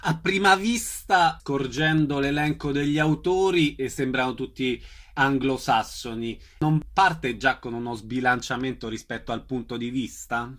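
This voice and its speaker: A male radio host interviewing a guest, asking measured questions. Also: medium pitch, a bit bright.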